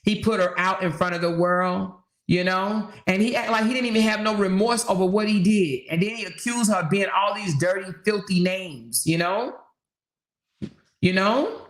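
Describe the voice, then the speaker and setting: A woman's voice: mid-range voice, moderate pace, reverberant. A woman hosting a casual livestream, reading viewer comments and commenting on them emphatically, repeating her points and tossing in rhetorical questions. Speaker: livestream host.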